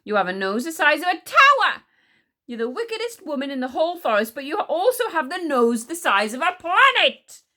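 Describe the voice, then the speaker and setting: A woman voicing a rude, hot-headed wizard boy in a storybook, shouting boastfully and defiantly. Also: high-pitched, sing-song, a little fast, pitched above the performer's own voice, heavily acted. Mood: insulting, furious.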